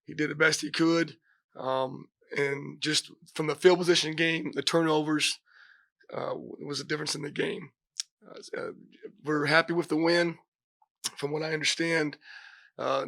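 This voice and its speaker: Hoarse, gravelly football coach. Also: male voice, fairly high pitch, sharp tone, no room sound. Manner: halting, many fillers and restarts. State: hoarse from a cold. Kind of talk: press conference remarks. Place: press conference room.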